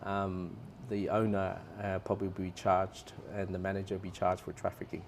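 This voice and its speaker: A man's voice: sits low, level tone, moderate pace, darkish tone, slight background noise. A man from Australia, a guest in a casual interview, earnestly giving plain, repeated advice to travelers.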